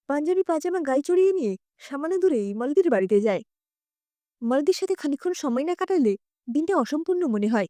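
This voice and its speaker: Woman reading a story, high voice, really animated intonation, literary and expressive. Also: no echo, clean studio sound. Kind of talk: scripted audio story narration.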